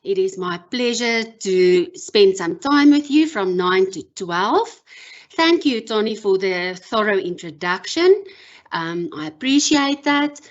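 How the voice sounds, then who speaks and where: A woman whose first language is Afrikaans gives a measured online workshop as a university lecturer, with frequent pauses and ums. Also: medium pitch, expressive, dull audio. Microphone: video call.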